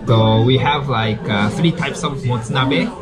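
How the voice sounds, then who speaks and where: A man's voice: mid-range voice, measured pace, loud music behind the voice. A man hosting a casual dinner interview in English, asking questions with lots of fillers. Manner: friendly, prompting questions, filler-heavy. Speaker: host. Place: small restaurant indoors.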